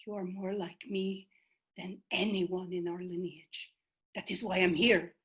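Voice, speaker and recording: A woman's voice: mid-range voice, breathy edge. A woman playing a stern ancient foremother spirit. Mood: urging. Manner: stern, commanding, deliberate. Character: ancient fierce female ancestor spirit. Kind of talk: one-woman stage monologue.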